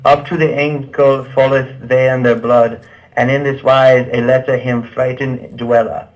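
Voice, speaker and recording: A man reads Middle English verse aloud for a puppet show. His voice sits at an average pitch, and he trips over the archaic words. The sound is dull and muffled. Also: flat delivery, unhurried.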